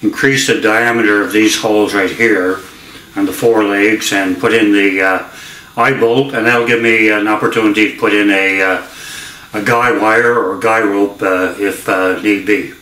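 An older man explains a hands-on job in a fairly low voice with a clear, bright edge. He speaks haltingly and deliberately, matter-of-fact throughout. The garage around him gives the sound a strong room echo. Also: steady tone. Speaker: DIY demonstrator. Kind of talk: hands-on how-to narration. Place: indoor garage workshop with hard floor.

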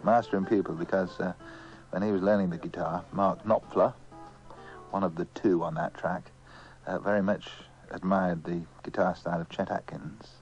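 A man presenting music on the radio, pausing mid-phrase as he chats between songs. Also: low-pitched, steady tone, a little mellow. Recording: muffled old radio tape with faint music.

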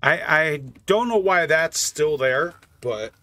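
Bright-voiced man casually narrating a game. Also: fairly high pitch, expressive, takes it slowly, dry recording. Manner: offhand, thinking aloud. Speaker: gaming channel host. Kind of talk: casual game mod test commentary.

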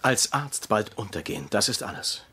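A husky middle-aged mentor doctor in a dubbed TV comedy. He is gruff and sarcastic, with pitch that jumps around as he rants and insults. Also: very animated, moderate pace, sharp tone, full character voice. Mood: scornful. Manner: biting, insulting, ranting. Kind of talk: German dubbed TV comedy acting.

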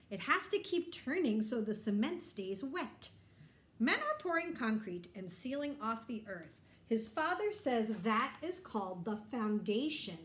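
A middle-aged woman reads a children's story in a lively, swooping voice. She pauses to explain things and put simple questions to young listeners. The room adds a noticeable echo. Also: fairly high pitch. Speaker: teacher reading a picture book aloud to children. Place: bright indoor room.

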